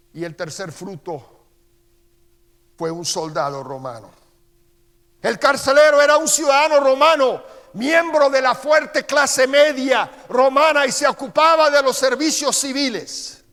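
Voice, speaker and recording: A middle-aged man with a Costa Rican accent preaching a sermon as pastor. He reads Scripture slowly and with emphasis, then turns quieter as he tells personal stories. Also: sits high. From Costa Rica. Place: church sanctuary. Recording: long church-hall reverb.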